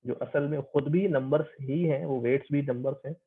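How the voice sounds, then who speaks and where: A man's voice: mid-range voice, normal speed, slightly rough, phone-quality sound. A man giving an explanatory lecture as a teacher, conversational, mixing Hindi-Urdu with English and checking understanding with questions.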